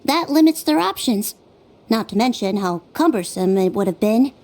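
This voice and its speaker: Careful non-human sleuth, high and lilting, thinks aloud step by step, faint music behind. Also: female voice, expressive, moderate pace. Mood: thoughtful. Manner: methodical reasoning aloud. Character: young female Melusine detective, analytical, gentle. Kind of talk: video game voice acting. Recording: game audio with soft music.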